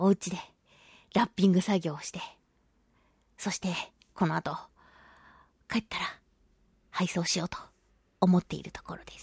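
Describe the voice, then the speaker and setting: A woman's voice: medium pitch, breathy edge, dry recording, studio quality. A woman hosting a casual solo radio talk, chatty and giggly, playfully slipping into mock-polite phrases as she narrates. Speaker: radio show host and voice actress.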